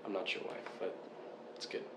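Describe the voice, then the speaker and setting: A man's voice: fairly quick, fairly bright tone. A man co-hosting a casual chat, asking curious questions and laughing easily. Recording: echoey room with faint noise.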